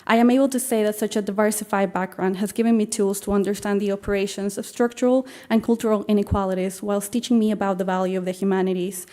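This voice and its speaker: A young woman with a Mexican accent gives a formal prepared speech as a scholarship recipient, measured and with frequent fillers. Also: medium pitch, fairly even intonation, warm tone.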